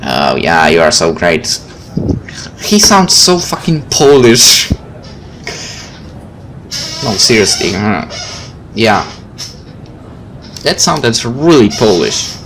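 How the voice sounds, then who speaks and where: A man's voice: lowish voice, very animated, takes it slowly, pauses often, noisy background. A man hosting a game video, reading story narration aloud and adding casual, wry asides. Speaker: gaming video host. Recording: faint game music behind the voice.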